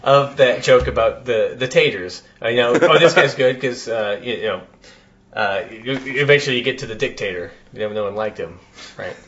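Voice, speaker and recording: A man's voice: medium pitch, normal speed, fairly bright tone. A man co-hosting a podcast in casual banter, often chiming in with jokes. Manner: joking, interjecting. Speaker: podcast co-host. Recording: dull lo-fi podcast recording.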